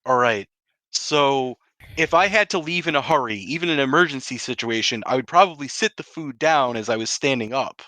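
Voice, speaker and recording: A man's voice: medium pitch, expressive, moderate pace, bright, forceful tone, dry recording. A man casually roleplaying and narrating his character's actions as a player in a tabletop game.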